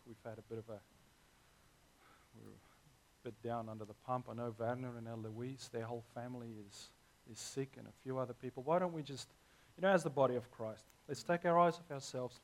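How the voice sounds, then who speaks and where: A man, hoarse this morning, preaching a sermon as pastor with earnest, emphatic delivery. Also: mid-range voice, a little hiss or hum, low-quality recording.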